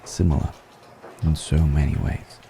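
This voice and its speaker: Man playing a very deep, slow, gravelly vampire. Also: fairly even intonation, warm tone, slight background noise, heavily acted. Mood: musing, aloof. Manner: slow, deliberate, musing, with long pauses. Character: suave, ancient aristocratic male vampire, courtly and intrigued. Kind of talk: intimate ASMR roleplay monologue.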